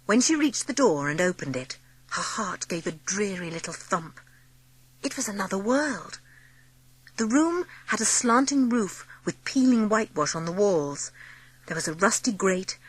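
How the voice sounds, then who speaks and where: A woman's voice: medium pitch, a bit bright, slightly rough, no room sound. A woman reading scripted narration for a storybook audiobook drama.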